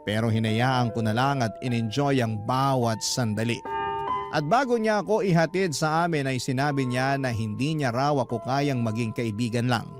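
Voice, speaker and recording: A man narrating a woman letter sender's love story in the first person, as sentimental, measured radio drama storytelling. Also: mid-range voice, over music, lightly acted. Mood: reflective.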